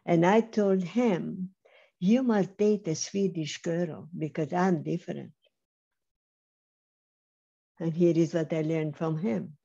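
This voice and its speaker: An older woman giving a measured, emphatic personal testimony over a video call, speaking slowly with pauses. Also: lowish voice, creaky, warm tone, breathy edge. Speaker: Holocaust survivor speaker. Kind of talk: slow personal testimony talk. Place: home room on video call. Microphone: webcam mic on video call. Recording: slightly dull lo-fi video call audio.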